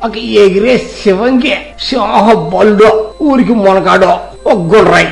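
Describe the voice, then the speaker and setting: A cartoon village servant speaks in an extremely high voice with a rustic Telugu dialect. He wheedles and heaps flattery on his master like a simpleton. Light music plays behind him. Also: unhurried, vocal fry. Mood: fawning admiration. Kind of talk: comic cartoon story dialogue.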